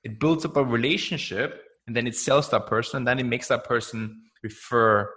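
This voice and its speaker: A young man from Austria whose first language is German, speaking as a podcast guest in a chatty, energetic way and often tagging "right?" onto his points. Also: mid-range voice, moderate pace. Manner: energetic, chatty, frequent "right?" tags and laughs. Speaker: guest, marketing founder. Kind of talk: casual podcast interview.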